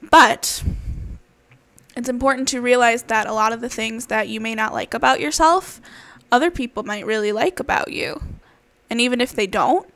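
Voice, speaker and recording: A woman's voice: on the high side, moderate pace, fairly bright tone, no room sound. A woman storybook reader explaining a tale to children in simple, emphatic phrases, checking they follow along.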